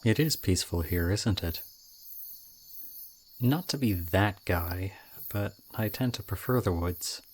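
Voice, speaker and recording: A man's voice: low voice, measured pace, long pauses, husky. A man playing an odd, charming young student with a creepy intensity, speaking casually and hesitantly to the listener in an audio roleplay. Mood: reflective. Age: young adult.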